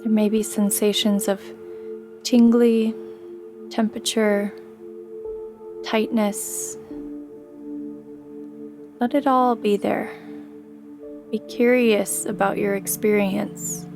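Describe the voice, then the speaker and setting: A woman leads a guided sleep meditation at a very slow, unhurried pace. Her mid-pitched voice stays flat and even, gently instructing the listener. Soft music plays behind her. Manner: gentle, soothing, unhurried instruction with long pauses.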